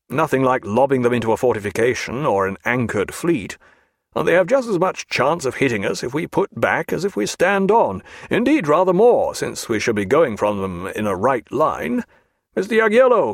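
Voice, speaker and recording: A man voicing a calm, genial naval captain in an audiobook, assured and courteous, with old-fashioned naval phrasing. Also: mid-range voice, moderate pace, no echo, studio quality.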